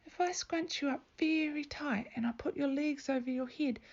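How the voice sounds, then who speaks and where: A woman's voice: high-pitched, moderate pace, creaky, darkish tone, lo-fi sound, voice pushed up. A woman voicing a worried, hopeful little boy in an earnest, pleading way for a storybook. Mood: hopeful, coaxing.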